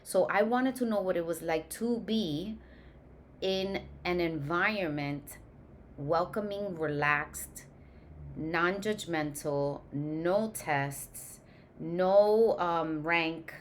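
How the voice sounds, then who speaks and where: Low-voiced woman, unhurried and chatty. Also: slight background noise. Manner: unhurried, many ums, loose self-corrections. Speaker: course host, education founder. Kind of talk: personal introduction, casual talk to camera.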